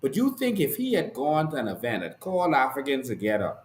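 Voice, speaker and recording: A man's voice: medium pitch, wildly swooping pitch, a little fast, roomy sound. A Bahamian man giving passionate, rhetorical political commentary with emphatic repeated declarations. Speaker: host and activist.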